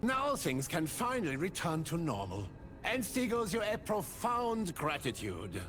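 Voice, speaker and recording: Pompous high-voiced king, very animated intonation, haughty, theatrical, quick to anger, game drama with music behind. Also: heavily acted. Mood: pompous relief. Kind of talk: dramatic video game acting.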